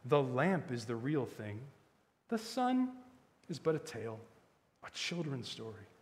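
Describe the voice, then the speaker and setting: A man voicing a cunning witch queen in a children's fantasy audiobook, soft and cooing, with slow, silky, condescending courtesy. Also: a little high, lively intonation, big-room sound, voice pushed up, close to an everyday voice. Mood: coaxing, mocking.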